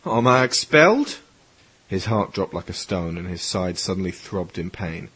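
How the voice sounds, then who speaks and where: A man's voice: sits low, little pitch movement, normal speed, slightly rough. A man reading scripted third-person story narration for an audio drama. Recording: music under the voice.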